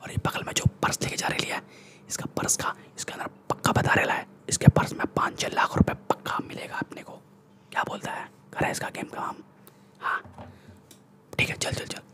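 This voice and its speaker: A man's voice: moderate pace, lightly acted. A young man with an Indian accent playing a cocky, threatening street gangster in a whispered ASMR roleplay, speaking bossy street slang close to the microphone. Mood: scheming, bossy. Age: young adult. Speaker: ASMR performer. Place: small room indoors. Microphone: close-up large desktop microphone.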